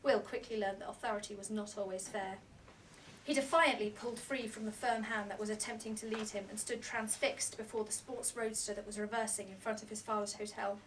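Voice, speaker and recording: A woman's voice: mid-range voice, fairly even intonation, a little fast. A woman reading a novel aloud as scripted narration, at a book launch. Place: indoor bookshop launch party. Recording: distant mic in a noisy room.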